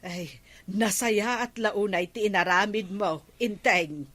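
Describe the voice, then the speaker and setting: Woman acting as sharp, nagging Ilocano village woman. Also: mid-range voice, slow pace, no echo. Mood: teasing. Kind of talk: Ilocano comedy radio-drama acting.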